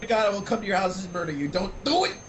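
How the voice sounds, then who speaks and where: A man's voice: high voice, expressive, fast talker, a bit bright. A man making casual, jokey comments on a livestream reading.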